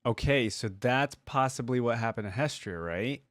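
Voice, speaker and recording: A man's voice: medium pitch, moderate pace, a bit bright, faint music behind. A man giving casual, conversational reaction commentary as a video host.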